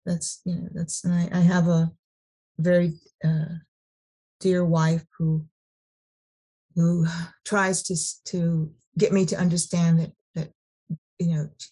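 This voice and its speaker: A middle-aged woman from California sharing her personal story at a recovery meeting, halting, with many restarts and repeated words. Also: fairly low pitch, flat delivery, mellow, breathy edge, poor audio. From Sacramento, California.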